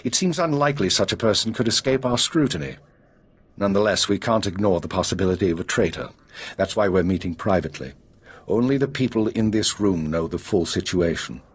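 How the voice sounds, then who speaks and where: An actor playing a guarded intelligence chief in a video game, measured, formal and authoritative. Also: male voice, deep voice, animated, husky. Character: grave, guarded male intelligence spymaster. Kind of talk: video game drama acting.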